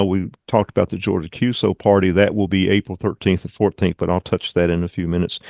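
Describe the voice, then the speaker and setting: A man leading an online club meeting, giving informal updates in a halting, rambling, conversational way. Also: fairly low pitch, darkish tone, no echo, clean studio sound. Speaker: radio club meeting leader and presenter.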